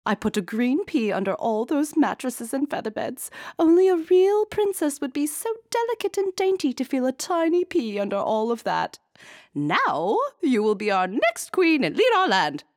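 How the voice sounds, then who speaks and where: A stuffy, tradition-bound queen from a storybook, put on by a woman reading aloud. Her voice leaps high into squeals and swoops all over. The sound is close and dry. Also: very animated, normal speed, a little mellow, no room sound. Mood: excited. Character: fussy set-in-her-ways old queen, shrill and gleeful.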